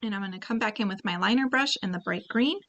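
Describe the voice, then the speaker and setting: A woman leading a relaxed paint-along tutorial, chatting and thinking aloud as she paints, and now and then clearing her throat. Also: medium pitch, quick pace, dull audio. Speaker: painting instructor.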